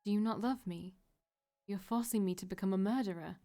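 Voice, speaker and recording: A woman's voice: mid-range voice, brisk, warm tone, natural voice. A woman voicing a desperate, broken young woman who pleads through tears. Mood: pleading. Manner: pleading, tearful.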